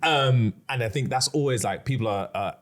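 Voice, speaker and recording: A man in his thirties co-hosting a podcast. His voice sits at a middling pitch. He rambles through his points in a frank, casual way, swearing freely. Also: fairly quick, a little hiss or hum. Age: 30s. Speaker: podcast co-host. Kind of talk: casual chat.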